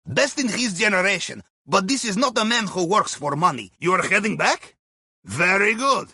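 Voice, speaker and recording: Wry, husky Russian submarine pilot. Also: male voice, high-pitched, expressive, normal speed, sharp tone, no room sound, clean studio sound, heavily acted. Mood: wry, instructive. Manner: wry, deferential, clipped grammar with dropped articles. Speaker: mission handler on comms. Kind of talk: scripted video game dialogue.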